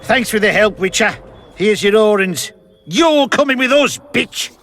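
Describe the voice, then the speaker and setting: A man's voice: high voice, expressive, measured pace, music in the background. A man voicing a coarse, jeering soldier in a video game. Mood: jeering, hostile. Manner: coarse, taunting. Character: brutal, jeering rough soldier, grown man.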